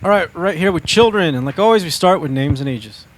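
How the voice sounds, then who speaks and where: Joking male podcast host, somewhat high. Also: expressive, brisk, low-quality recording. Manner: joking, upbeat questions. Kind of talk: casual interview.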